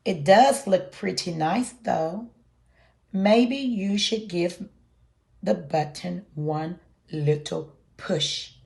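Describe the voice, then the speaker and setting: Woman voicing Larry, a slow-talking silly storybook monster. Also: lowish voice, creaky, mellow, pitched below the performer's own voice, exaggerated voice. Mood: playful, tempted. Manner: exaggerated, drawn-out exclamations for small children. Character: Larry, silly mischievous excitable little male storybook monster. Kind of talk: picture-book character read-aloud.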